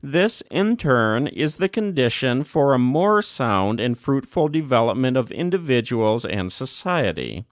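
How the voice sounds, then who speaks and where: A man reading a formal religious text as scripted narration, with measured, deliberate phrasing and emphatic pauses. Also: medium pitch, no echo, studio quality. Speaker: volunteer audiobook narrator.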